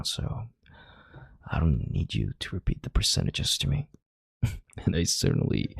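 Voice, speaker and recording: Commanding, calculating male CEO played by a man for intimate audio roleplay: rock-bottom pitch, very husky, measured and authoritative. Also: no room sound, studio quality, heavily acted. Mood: controlled, insistent. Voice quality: very deep, gravelly, husky voice. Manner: authoritative, measured, halting, sighing and hushing.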